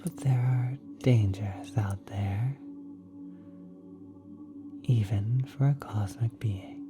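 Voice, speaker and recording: A woman playing a gentle, doting ethereal cosmic being in an ASMR roleplay, speaking softly and breathily, often whispering, tender and reassuring as if to a small child. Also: rumbling low, slow pace, music in the background. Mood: gentle, explaining.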